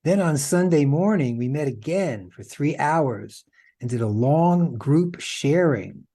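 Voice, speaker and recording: A man's voice: fairly high pitch, lively intonation, slow pace, dark tone. A man hosting a podcast, explaining things in a casual, conversational way. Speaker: podcast host.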